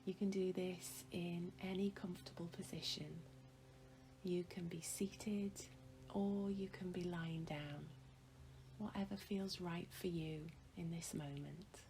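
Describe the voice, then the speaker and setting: Female meditation guide: very slow, gentle and hushed, some creak in the voice, faint noise behind. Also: lowish voice, steady tone, rough recording. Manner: soft, soothing, gentle delivery with long pauses.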